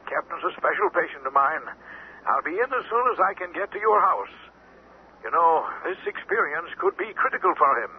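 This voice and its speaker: A reassuring doctor in an old radio play. His voice is fairly high and moves freely in pitch. It comes through a narrow telephone-like line with some hiss. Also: sing-song, brisk. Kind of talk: old-time radio drama acting. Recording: thin phone-like vintage radio, hissy.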